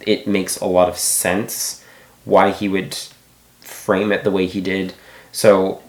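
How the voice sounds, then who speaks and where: Man, very low voice, casual book review, rambling restarts, echoey room. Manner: rambling, thinking aloud with many restarts.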